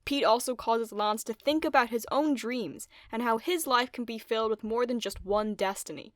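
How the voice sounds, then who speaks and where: Higher-pitched woman narrating a video essay. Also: normal speed, no echo, studio quality.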